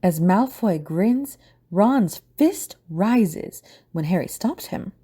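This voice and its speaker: A woman with a husky voice reading scripted audio drama narration as the narrator and host. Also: mid-range voice, lively intonation, takes it slowly, warm tone.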